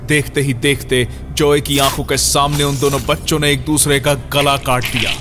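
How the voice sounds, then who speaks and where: A man's voice: mid-range voice, level tone, moderate pace. A man narrating a scripted horror audio story in a dramatic storyteller's manner. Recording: music under the voice. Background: background music.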